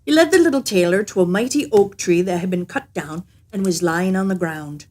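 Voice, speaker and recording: A woman reading a fairy tale aloud as an expressive, scripted narration. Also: on the low side, a little fast. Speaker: storyteller.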